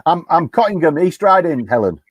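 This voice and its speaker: Jokey Yorkshire man with a lively voice. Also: brisk, no room sound. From Yorkshire, England. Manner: jokey, taunting, rising to high mocking tones. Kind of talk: casual banter on a live stream.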